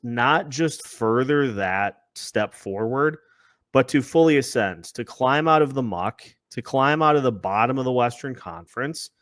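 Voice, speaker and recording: A man's voice: mid-range voice, animated, unhurried, fairly bright tone, no room sound. A man hosting a sports podcast in a conversational way, repeating phrases as he builds his points. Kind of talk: conversational sports discussion with scripted-sounding intro.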